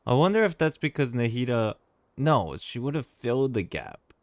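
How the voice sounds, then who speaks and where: A woman's voice: rumbling low, sing-song, moderate pace. A woman giving casual let's play commentary as a game streamer, musing aloud to herself.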